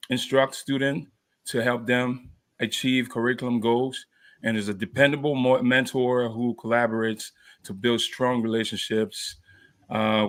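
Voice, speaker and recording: A man hosting a podcast interview, talking haltingly with many fillers. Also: medium pitch, a bit bright. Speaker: podcast host. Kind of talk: interview introduction.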